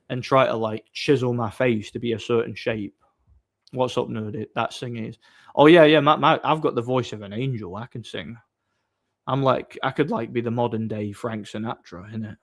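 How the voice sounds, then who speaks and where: A man's voice: mid-range voice, fairly even intonation, normal speed. A man, chatting casually on a livestream, reading out viewer messages and answering with mocking boasts. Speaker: live streamer reading chat.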